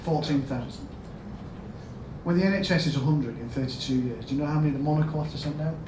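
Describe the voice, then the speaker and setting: A man with a Yorkshire accent giving a storytelling conference talk as a health service chief executive, asking the audience questions and rising to loud emphatic bursts. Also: fairly high pitch, quick pace. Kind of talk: storytelling keynote speech. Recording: echoey hall, mic at a distance, background noise.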